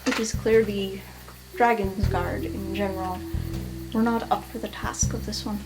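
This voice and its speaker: A woman voicing a dutiful student guard representative in an improvised roleplay game, measured and stiffly polite. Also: mid-range voice, steady tone, music in the background, close to an everyday voice, poor audio. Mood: formal, restrained.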